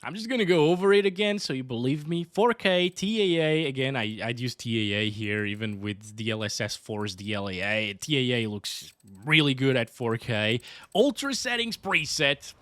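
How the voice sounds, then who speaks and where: A man's voice: medium pitch, animated, normal speed, fairly bright tone, no echo. A man with a Portuguese accent giving chatty, upbeat commentary over gameplay as a hardware reviewer. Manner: chatty, upbeat, often says "guys".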